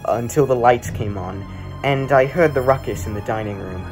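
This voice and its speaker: A man's voice: medium pitch, normal speed, lightly acted. A young man playing a dutiful, guarded butler in a radio play, speaking with stiff, proper formality. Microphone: video call. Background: prominent music.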